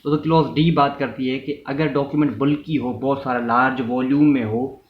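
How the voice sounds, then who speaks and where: A man's voice: mid-range voice, steady tone, brisk. A man with a Pakistani accent gives an informal explanatory law lesson, repeating and rephrasing his points and slipping into English legal terms. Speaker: law lecturer. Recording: echoey room, muffled, faint noise.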